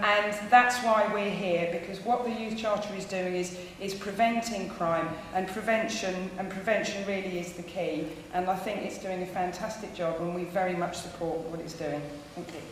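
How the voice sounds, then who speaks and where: A woman giving a persuasive talk at a public event, earnest and pausing on many ums. She projects her voice, which she calls loud. The sound carries a long, heavy echo from a big hall and a light hiss. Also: mid-range voice. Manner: says she has a loud voice. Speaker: campaign speaker. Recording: echoey hall, faint noise.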